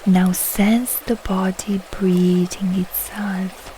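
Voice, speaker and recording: A woman guiding a yoga nidra relaxation meditation, speaking in soft, soothing, unhurried short phrases with long pauses. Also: mid-range voice, little pitch movement, a little hiss or hum, poor audio. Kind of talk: slow guided relaxation meditation.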